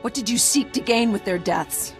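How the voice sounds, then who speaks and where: An actress voicing a proud, vengeful clan warrior in a video game, speaking in a formal, solemn and intense way. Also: female voice, on the high side, normal speed, faint music behind, close to an everyday voice. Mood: anguished, demanding. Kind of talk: dramatic video game acting.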